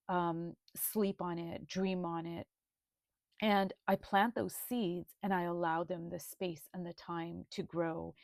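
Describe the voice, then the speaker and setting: A woman presenting her art journal in a video, speaking in a slow, thoughtful, gently earnest way. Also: lowish voice, gravelly, dry recording, clean studio sound. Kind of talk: reflective video flip-through.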